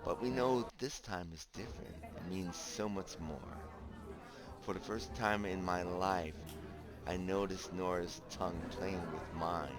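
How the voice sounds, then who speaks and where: A smitten young romantic hero in a visual novel, with music clearly behind him. His voice is low, and he speaks with earnest, dramatic, teasing sincerity. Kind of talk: visual novel voice acting with narration. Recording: background music under the voice.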